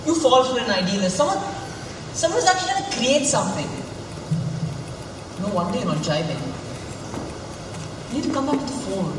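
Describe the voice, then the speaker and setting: A man's voice: very high-pitched, sing-song, a little fast, long pauses, background noise. An actor playing a cocky, flirtatious academic man with a teasing, insistent manner in a stage play. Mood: mocking. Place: stage in a reverberant hall. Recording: echoey hall, distant mic, background music.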